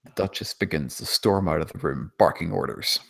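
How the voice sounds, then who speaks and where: A man with a very low voice narrates a screenplay. He reads out scene descriptions and stage directions as scripted narration. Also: a little fast, gravelly, husky. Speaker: narrator of screenplay table read.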